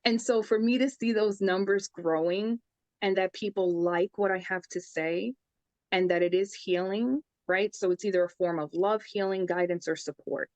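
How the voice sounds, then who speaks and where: A woman's voice: medium pitch, steady tone, normal speed, darkish tone, dry recording. A young woman from the Bronx with a New York accent, a psychic medium talking earnestly about her life in a casual interview. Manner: earnest, storytelling, says "I says" for past talk.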